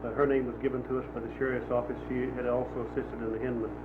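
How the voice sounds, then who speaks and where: A man with an American accent, a police investigator answering press questions in a measured, official manner. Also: medium pitch, flat delivery. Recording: tinny noisy archival newsreel sound.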